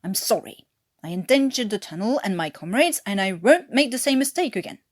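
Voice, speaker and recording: A woman's voice: mid-range voice, animated, a little fast, close to an everyday voice. Woman reading as a stiff, defensive soldier, clipped tones. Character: stiff, awkward, defensive British officer in prison camp. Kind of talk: amateur audiobook character reading.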